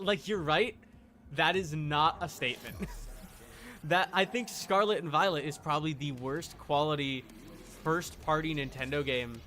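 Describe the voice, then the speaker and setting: A man's voice: fairly high pitch, bright, forceful tone. A man livestreaming a video game, chatting casually in an opinionated, emphatic, argumentative way. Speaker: streamer.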